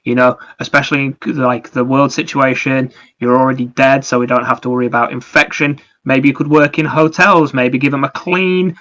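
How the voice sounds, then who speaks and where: A man's voice: mid-range voice, steady tone, brisk, few pauses, fairly bright tone, highs cut off. A man co-hosting a podcast, talking casually and at length about video games in a wordy, digressive way. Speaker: podcast co-host. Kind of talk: casual video game review chat.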